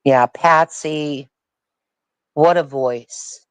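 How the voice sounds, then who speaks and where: A woman's voice: low-pitched, drawn-out words, clear, resonant tone, dry recording. A woman on a live-streamed panel chat, talking about music in an emphatic, opinionated way and repeating her points. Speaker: panel guest.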